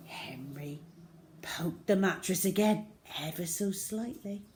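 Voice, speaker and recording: A woman reading a story to children as host, dramatic, with a way of dropping into suspenseful hush. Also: fairly low pitch, takes it slowly. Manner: dramatic, with hushed suspenseful drops.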